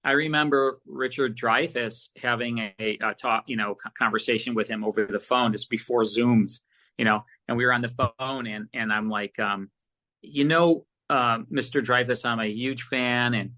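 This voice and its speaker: A man's voice: mid-range voice, rough recording. A man speaking casually as an interview guest, a producer telling rambling anecdotes and acting out bits of past conversations. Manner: animated rambling anecdotes, often quoting conversations.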